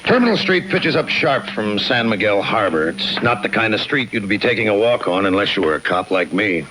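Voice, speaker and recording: An actor playing a cynical, world-weary homicide detective in a 1950s radio crime drama, clipped, deadpan and sardonic. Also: male voice, lowish voice, brisk, noisy background. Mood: brooding, wry. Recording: 1951 radio broadcast with music behind.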